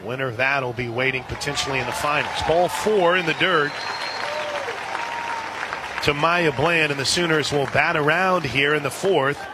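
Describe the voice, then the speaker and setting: A man doing live radio play-by-play of a softball game, slipping conversational asides in between pitch calls. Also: moderate pace. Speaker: play-by-play announcer. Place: outdoor softball stadium broadcast booth. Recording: loud crowd and outdoor stadium noise. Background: crowd noise.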